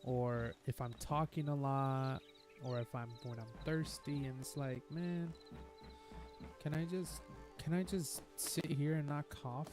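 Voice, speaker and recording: A man's voice: a little high, fairly even intonation, unhurried. A man casually rambling through commentary while he plays a building game, with a lingering cough that keeps breaking in. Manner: chatty, rambling and upbeat. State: nagging cough. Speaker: gaming streamer. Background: game music.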